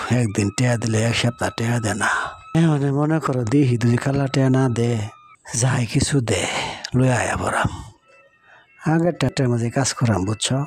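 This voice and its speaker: A man's voice: mid-range voice, a little mellow, a little hiss or hum, close to an everyday voice. A man voicing a poor, humble, hardworking village husband in a cartoon moral story, with an earnest manner and rural Bangladeshi Bengali accent. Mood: weary, resigned. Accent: rural Bangladeshi regional Bengali.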